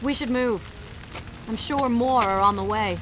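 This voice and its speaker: A woman's voice: on the high side, measured pace, natural voice. Woman playing a bold young heroine, music behind. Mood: confident. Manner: poised, playful, formal diction. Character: bold, teasing young adventurous female companion. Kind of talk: dramatic video game cutscene acting.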